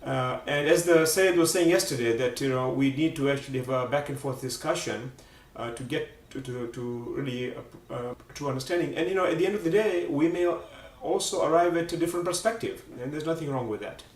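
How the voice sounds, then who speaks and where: A man hosting a live religious program, speaking haltingly with many fillers. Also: a little high. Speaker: program host. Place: Seattle area. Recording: roomy, distant mic.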